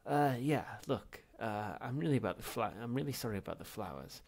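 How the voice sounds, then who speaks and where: A man's voice: mid-range voice, animated, a little fast, darkish tone. A man voicing a nervous younger man in a storybook, casual and evasive, stammering and pleading. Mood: nervous apology.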